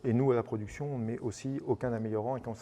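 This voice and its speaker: A man, a baker and amateur racing driver, answering interview questions in casual chat, quick and full of restarts. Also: medium pitch, steady tone, a crowd in the background. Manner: fast, with many repeated words and restarts.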